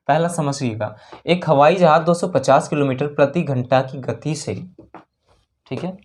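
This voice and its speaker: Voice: mid-range voice, a little mellow. A maths teacher working through exam problems step by step, asking questions and answering them, repeating key figures and mixing in English terms.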